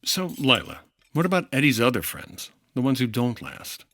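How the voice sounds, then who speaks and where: A man's voice: mid-range voice, very animated, moderate pace, fairly bright tone, a little hiss or hum. A man playing a brusque but decent insurance investigator in a 1950s radio detective drama, asking terse, probing questions.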